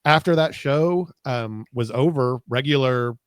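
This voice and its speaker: A man's voice: mid-range voice, measured pace, clear, resonant tone, no echo, studio quality. A middle-aged American man co-hosting a podcast in casual conversation. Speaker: podcast co-host. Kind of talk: casual chat.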